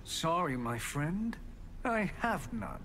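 A scheming sorcerer from a video game. He speaks with haughty, theatrical swoops in a fairly high male voice that echoes long. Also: big pitch swings, takes it slowly, a bit bright, a little hiss or hum, exaggerated voice. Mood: condescending. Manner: theatrical, condescending, bargaining. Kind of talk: video game villain dialogue. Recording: long hall-like reverb.

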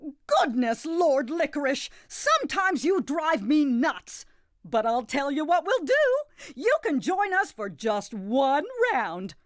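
A woman playing a jolly, doting grandmother who hosts an animated children's game in a gushing, sing-song way. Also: sits high, slow, no echo, clean studio sound, exaggerated voice. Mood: flustered, then obliging.

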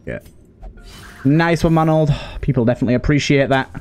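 A man's voice: fairly high pitch, brisk, a little mellow, soft background music. A man giving casual let's play commentary as a gaming host, talking to himself and to the characters on screen. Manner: talks to himself and to game characters.

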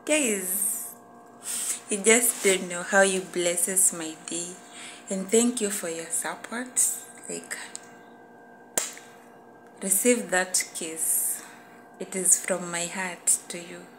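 A woman's voice: lowish voice, slow, long pauses. A young adult woman chatting casually and affectionately to viewers as a cooking vlogger, effusive in manner, recorded indoors on a phone at arm's length. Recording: clearly noisy background.

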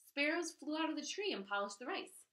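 A woman voicing a gentle, mistreated young girl in a storybook, speaking softly and pleading. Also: high-pitched, expressive, brisk, voice pushed up. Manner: soft, pleading. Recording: roomy sound, mic at a distance.

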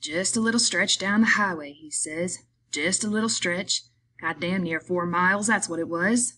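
A woman playing a small, irritable, weary male migrant worker in an audiobook, scolding in rough, rustic speech. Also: lowish voice, normal speed, sharp tone, rough recording. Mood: angry.

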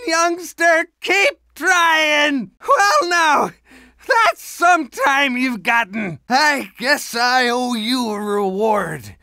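High-pitched doddering old cartoon superhero. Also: male voice, bright, forceful tone, dry recording, studio quality, heavily acted. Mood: pleased, encouraging. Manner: doddering, befuddled, exclamatory. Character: doddering, forgetful, cranky old retired superhero. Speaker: video game voice actor. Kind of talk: cartoon video game character acting.

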